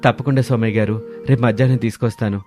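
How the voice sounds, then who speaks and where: A man's voice: mid-range voice, steady tone, very fast, a little mellow, soft background music, natural voice. A man playing a plain-spoken, earnest older father-in-law in a village cartoon story. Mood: welcoming. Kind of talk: dramatised moral story dialogue.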